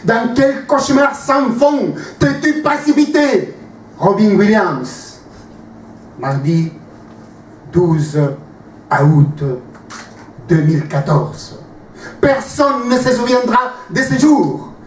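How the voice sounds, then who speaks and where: High-voiced man declaiming slam poetry slowly. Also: expressive. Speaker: slam poet. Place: live slam poetry evening, in a room. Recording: roomy, distant mic, faint noise.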